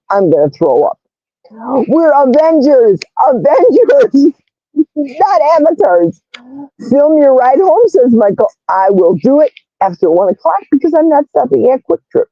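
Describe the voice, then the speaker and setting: A woman with a loud, raspy, brash voice hosting a casual livestream, reading chat comments aloud and greeting viewers. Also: on the high side, dry recording, highs cut off. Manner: animated, reads comments aloud, squeals greetings. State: hoarse, vocal fry.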